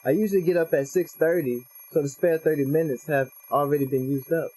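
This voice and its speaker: A man's voice: fairly high pitch, brisk, dark tone, slightly rough, poor audio. A man reading visual novel text aloud for a let's play, with casual slangy asides.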